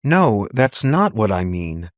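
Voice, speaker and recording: A man's voice: lowish voice, big pitch swings, slow, no echo, studio quality. A man voicing a conciliatory aunt in an audiobook, tentative and anxious to connect. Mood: pleading. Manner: tentative, placating. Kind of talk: audiobook character dialogue.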